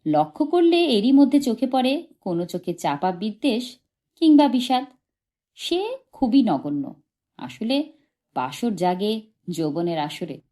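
A woman reading literary fiction aloud as an audiobook, in expressive scripted narration. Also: fairly high pitch, a little slow, long pauses. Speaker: audiobook reader.

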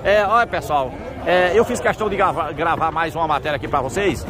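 A man's voice: fairly high pitch, brisk. A man who hosts a video. He walks through an outdoor livestock market, chatting with traders and greeting everyone in an upbeat, repetitive way. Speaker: market reporter and video host. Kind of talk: on-the-spot interviews while walking. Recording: noisy outdoor market crowd. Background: crowd chatter.

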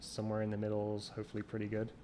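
A man's voice: lowish voice, completely flat delivery, very fast, mellow. A man being interviewed on a call, talking in a rambling way that thinks aloud and restarts often. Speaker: guest, champion water skier. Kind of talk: casual interview conversation. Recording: remote call recording with faint noise.

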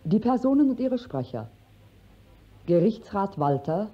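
A man's voice: sits high, sing-song, a little slow. A man formally announcing a radio play and its cast on an old broadcast. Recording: muffled vintage radio recording.

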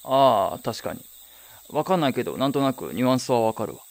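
Man acting creaky-voiced wary young sidekick. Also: medium pitch, animated, fairly quick, close to an everyday voice. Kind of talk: Japanese radio drama acting.

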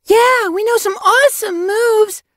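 A narrator voicing a rash, excitable young female cat apprentice who talks in bouncy, indignant bursts for an animal storybook. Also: very high voice, normal speed, no echo, pitched above the performer's own voice. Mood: eager.

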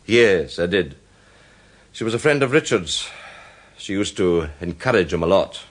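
Radio drama polite, apologetic man, husky, with swooping intonation. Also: sits low, lively intonation, measured pace. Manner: hesitant, apologetic. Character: polite, hesitant, earnest gentleman with a worry. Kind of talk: British radio drama acting.

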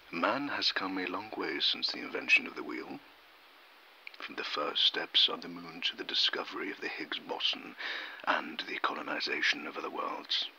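A man delivering a dramatic scripted monologue in solemn, halting short phrases. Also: low voice, creaky, bright, forceful tone, slight background noise, poor audio.

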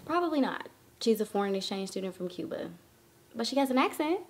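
An actress playing a friendly, pushy young college student, chatty and slangy in a naturalistic web drama. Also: female voice, fairly high pitch, lively intonation, a little fast. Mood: sheepish. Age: young adult. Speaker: actor in a web series. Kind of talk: naturalistic short-film acting. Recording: location sound with clear ambient noise.

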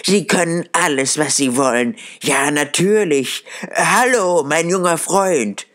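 A man voicing a giddy, overjoyed old miser who talks high and excitedly in a storybook reading. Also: slow.

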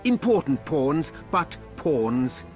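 An actor playing a menacing cult villain in a video game, pompous, condescending and deliberate. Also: male voice, fairly high pitch, big pitch swings, darkish tone, faint music behind. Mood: condescending menace. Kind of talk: video game character acting.

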